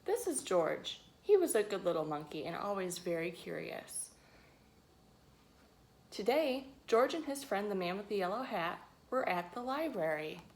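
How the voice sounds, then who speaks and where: A woman reading a picture book aloud to young children, expressively, pausing to ask them questions and add her own asides. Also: mid-range voice, very animated, darkish tone. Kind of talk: read-aloud storytelling with asides to young listeners.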